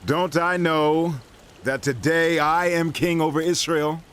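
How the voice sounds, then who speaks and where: A man's voice: on the high side, fairly even intonation, takes it slowly, fairly bright tone, smooth. An actor playing a firm yet merciful king, speaking with declamatory authority in a dramatized audio Bible. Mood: indignant.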